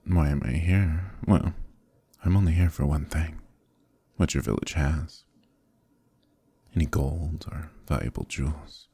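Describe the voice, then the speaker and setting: A man playing a smugly menacing evil knight in an ASMR roleplay, speaking in a slow, intimate, condescending monologue with softly threatening delivery. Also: no room sound, exaggerated voice. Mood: cold, menacing. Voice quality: very deep, gravelly, husky.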